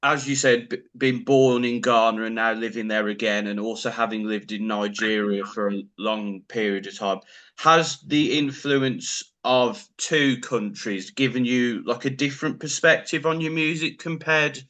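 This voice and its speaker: A man hosts a relaxed interview with a musician. His voice is bright and forward, in the middle of the male range. He affirms his guest and then asks open, friendly questions. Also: mid-range voice, moderate pace. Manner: encouraging, affirming. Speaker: interviewer host. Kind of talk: casual interview.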